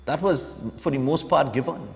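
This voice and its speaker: A man's voice: on the high side, normal speed. A man in his forties with a Trinidadian accent, hosting a live morning show in a conversational, opinionated way. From Trinidad and Tobago. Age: 40s. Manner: conversational, opinionated, peppered with "eh" and "you know". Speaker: morning television show host. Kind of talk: casual live talk and newspaper review. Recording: long hall-like reverb on the voice.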